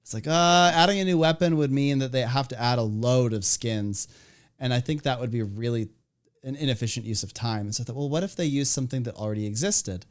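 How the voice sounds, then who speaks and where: A young man from Canada hosts a relaxed chat, his accent a blend of Canadian and American. He talks easily, laughs often and trips over a few restarts. His voice sits at an ordinary middle pitch. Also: brisk, smooth. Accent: Canadian, partly American. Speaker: podcast host. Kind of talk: casual chat.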